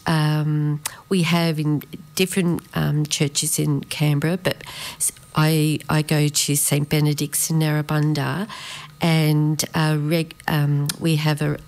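Woman, low-pitched, flat intonation, hesitant, measured, faith testimony. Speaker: guest speaker.

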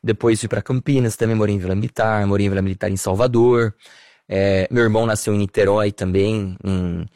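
A Brazilian man in his thirties, an interview guest, telling childhood memories in a warm, nostalgic way with many fillers. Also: fairly low pitch, steady tone, fairly quick, dry recording, studio quality. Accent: Brazilian Portuguese. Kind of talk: relaxed personal conversation.

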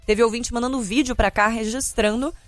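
A woman's voice: a little high, steady tone, brisk, a bit bright, dry recording, faint music behind. A Brazilian woman presenting live radio news and traffic updates in a conversational, explanatory way. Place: radio studio.

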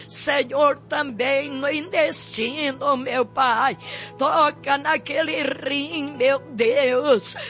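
A woman's voice: high voice, a bit bright. A Brazilian woman leading a fervent intercessory prayer as a preacher, pleading tearfully in short phrases. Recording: dull lo-fi audio with music. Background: music.

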